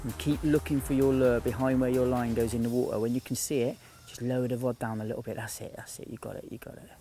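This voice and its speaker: A man guiding a beginner through fishing by a lake, giving quick, repeated instructions. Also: medium pitch, flat delivery, mellow, faint music behind. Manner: urgent, repetitive instructions. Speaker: fishing guide. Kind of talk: on-the-spot coaching. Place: outdoors by a lake.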